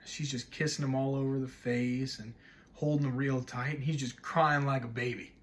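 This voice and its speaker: A man performing a dramatic stage monologue as a wistful man recalling young love, telling it reflectively in short, measured phrases. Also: mid-range voice, steady tone, close to an everyday voice. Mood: wistful, hushed. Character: wistful man haunted by a childhood night of love.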